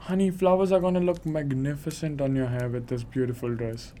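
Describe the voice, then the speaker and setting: A man's voice: on the high side, fairly even intonation, fairly quick, warm tone, natural voice. A young man playing a devoted, eager-to-please clerk husband in an amateur radio drama, tender and reassuring. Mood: gentle, caring. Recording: noisy amateur recording.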